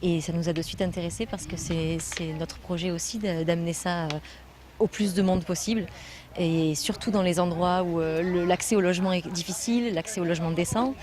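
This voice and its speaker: A woman's voice: fairly low pitch, steady tone, fairly quick, a crowd in the background. A woman from France, a trainer with a building foundation, answering interview questions in an earnest, explanatory way with frequent hesitations.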